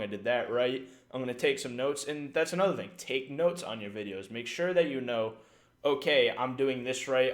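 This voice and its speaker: A man talks through casting tips on a stream in a loose, rambling way. He fills gaps with "uh" and checks in with "right?". His pitch sits in an ordinary middle range. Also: fairly bright tone. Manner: rambling, frequent fillers and "right?". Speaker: esports caster giving tips. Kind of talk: casual livestream talk.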